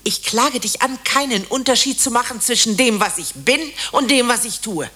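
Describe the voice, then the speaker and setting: Fed up wife in a radio play, bright sharp husky voice, accusing, declamatory. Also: female voice, on the high side, animated, moderate pace. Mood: bitter, accusing. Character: bitter, accusing wife Simone, fed up with her husband. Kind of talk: radio drama acting.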